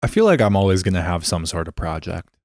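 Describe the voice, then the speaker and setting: A man's voice: low-pitched, sing-song, fast, a little mellow, no room sound. A man co-hosting a podcast in casual chat, joking and offhand, swearing casually. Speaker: podcast co-host.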